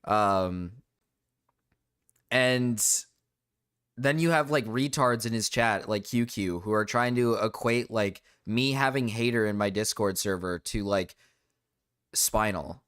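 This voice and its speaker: A young man streaming and ranting to his chat, rambling with restarts and fillers, his voice going low and cracking. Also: takes it slowly, fairly bright tone, clean tone. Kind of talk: casual livestream rant to chat.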